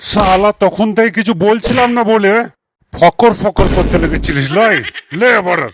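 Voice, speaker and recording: A man's voice: sits high, normal speed, background noise. A man with a West Bengal accent playing an irritable middle-aged village uncle who grumbles and scolds sarcastically in a comedy cartoon. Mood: angry threatening.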